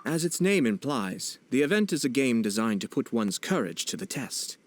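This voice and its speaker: An actor playing a poised young nobleman in a video game, speaking in a formal, measured, politely wry way. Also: medium pitch, very animated, slight background noise, close to an everyday voice. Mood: explanatory, calm. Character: poised young nobleman, courteous, composed and subtly teasing. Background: background music.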